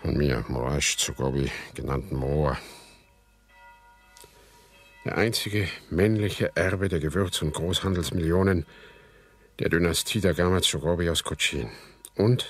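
A man's voice: low voice, measured pace, long pauses, breathy edge, faint music behind. An actor playing a reflective, disgraced heir who narrates his family saga in a radio drama. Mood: reflective, confessional.

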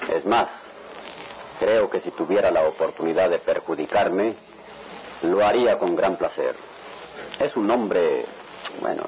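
A man's voice: low voice, a little mellow. An actor playing a stern, cautious middle-aged father in a radionovela, lecturing with authority. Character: stern, cautious father with plans for his children. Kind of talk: radionovela acting. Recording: noisy old radio drama recording.

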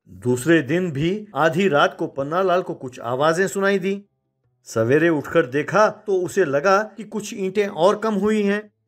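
A man narrating a children's moral story in scripted storytelling style. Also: high-pitched.

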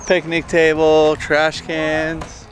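A man's voice: fairly high pitch, monotone, drawn-out words, faint music behind, poor audio. A young man casually chatting to camera as a travel vlog host, laid-back and enthusiastic with informal slang.

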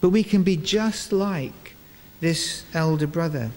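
An older man giving a sermon in a large church, speaking into a stand microphone in measured phrases with deliberate pauses. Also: a little high. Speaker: preacher. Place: large stone church, indoors, before a congregation. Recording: reverberant church hall, clear background noise.

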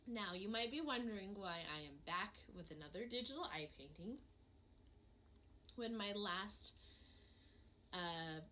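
A woman in her thirties hosting an art channel, chatting reflectively in a voice-over, her voice hoarse from a cold. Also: fairly low pitch, a bit bright, a little hiss or hum. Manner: reflective, chatty, many ums. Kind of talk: casual voice-over chat over a painting.

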